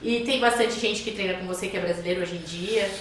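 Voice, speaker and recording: A woman from Brazil interviewing a guest, chatting casually with quick, agreeable replies. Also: mid-range voice, very echoey, distant mic, a little hiss or hum.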